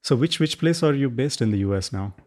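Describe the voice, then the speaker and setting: A man from India, hosting a podcast in a friendly, halting way with many restarts. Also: medium pitch, animated, a little mellow. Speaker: podcast host.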